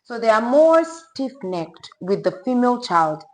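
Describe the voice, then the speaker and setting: A woman's voice: fairly high pitch, sing-song, a little slow. A woman hosting a podcast interview, chatty and often finishing her guest's sentences. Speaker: podcast host.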